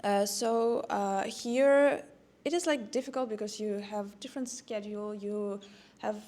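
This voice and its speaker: A woman's voice: mid-range voice, fairly even intonation, measured pace, a little mellow. Young woman with a Russian accent. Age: young adult. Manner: searching for words, repeats herself. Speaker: student panelist. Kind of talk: casual discussion.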